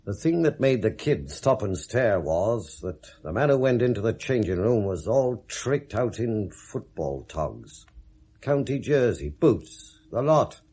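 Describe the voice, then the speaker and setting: An actor playing a world-weary, compassionate senior policeman in a radio drama, speaking in a measured, ruminative way. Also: on the low side, big pitch swings, mellow, slightly rough, exaggerated voice. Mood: matter-of-fact. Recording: vintage 1970s radio recording.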